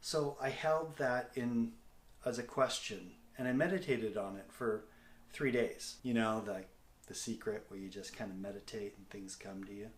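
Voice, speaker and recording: A middle-aged man with a Canadian accent talking casually to a video diary in a candid, self-deprecating, halting way. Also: on the low side, slight background noise. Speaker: comedic actor and video diary host. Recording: echoey, roomy sound.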